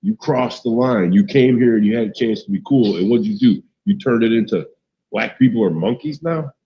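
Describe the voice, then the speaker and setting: A man's voice: lowish voice, normal speed, lo-fi sound. A middle-aged man talking casually in a blunt, combative, profane way. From United States, South Side of Chicago. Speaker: live stream host. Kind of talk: casual opinionated talk.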